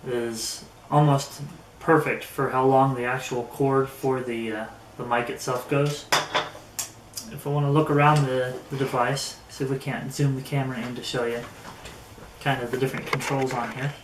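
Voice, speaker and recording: A man giving a casual hands-on product review, speaking in a halting way with pauses mid-phrase. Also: mid-range voice, little pitch movement. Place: indoors in an echoey room. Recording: roomy echo, faint background noise.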